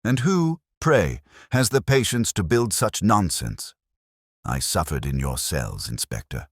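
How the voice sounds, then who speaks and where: An aggrieved, sardonic town councillor, put on by a male narrator in a detective audiobook. He protests scornfully, his deep voice swinging widely in pitch, recorded dry and close. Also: sing-song, unhurried, husky, dry recording, heavily acted.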